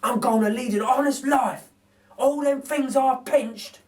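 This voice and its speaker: A man's voice: extremely high pitch, measured pace, a little mellow, pitched above the performer's own voice. A man voicing a good-natured, plain-spoken working-class male burglar with colloquial speech in a children's storybook. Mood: remorseful, resolute. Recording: distant microphone.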